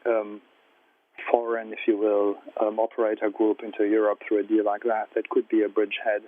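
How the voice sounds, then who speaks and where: A man's voice: lowish voice, completely flat delivery, fairly quick, dry recording. A man calling in over a phone line as a financial analyst, putting questions in a tentative, hedging way. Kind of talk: asking questions on a conference call. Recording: tinny narrow-band phone line.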